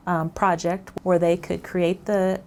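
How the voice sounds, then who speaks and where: A woman giving halting, unscripted interview answers as a local spokesperson. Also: fairly low pitch, level tone, darkish tone, a little hiss or hum.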